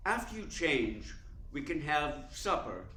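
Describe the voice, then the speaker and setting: An actor playing an urbane, cunning theater columnist in a radio play, speaking in a suave, deliberate, formal way. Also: male voice, fairly high pitch, big pitch swings, bright, forceful tone, full character voice. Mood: suave, probing. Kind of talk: radio play narration and dialogue. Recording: roomy echo, faint background noise.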